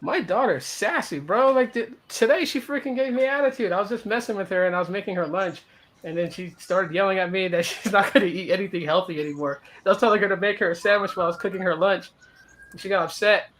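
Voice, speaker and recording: Fast-talking high-voiced man, slangy host. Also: a bit bright. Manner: blunt, slangy, says bro and dude a lot. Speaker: host of a live stream. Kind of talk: casual group chat.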